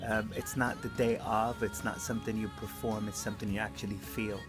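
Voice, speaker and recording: An earnest man speaking as an indie film director, thinking aloud with a halting delivery. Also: lowish voice, flat delivery. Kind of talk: interview answers and addressing a group. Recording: background guitar music. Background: music.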